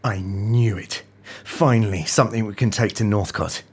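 An actor playing an eager, ambitious intelligence agent with a self-satisfied manner in an audio drama. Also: male voice, fairly low pitch, normal speed, darkish tone, husky. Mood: smug, excited.

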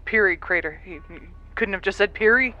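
A woman's voice: mid-range voice, fairly quick, bright, forceful tone, muffled recording, faint music behind, close to an everyday voice. A woman playing a brusque, sassy, capable rescuer in a sci-fi audio drama, blunt and sarcastic in her delivery. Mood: dry, fond.

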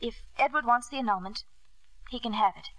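Actress as an earnest, bright-voiced young wife. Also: female voice, on the high side, brisk, no room sound, close to an everyday voice, poor audio. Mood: resigned, proud. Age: young adult. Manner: hesitant, polite, earnest. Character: earnest, vulnerable but proud young wife. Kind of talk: 1950s radio drama acting.

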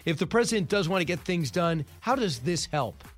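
A radio talk host delivers headlines in a casual monologue, tossing off sarcastic one-liners between stories. His voice sits a bit high for a man. Faint music plays under him in the studio. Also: big pitch swings. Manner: wry asides, quick opinions, occasional stumbles. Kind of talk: casual news-commentary monologue.

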